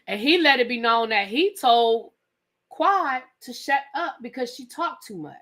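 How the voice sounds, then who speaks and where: Animated woman ranting about a TV episode. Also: on the high side, measured pace. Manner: animated storytelling, acts out quotes; profane, conversational. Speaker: TV show reviewer. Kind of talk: casual live recap rant.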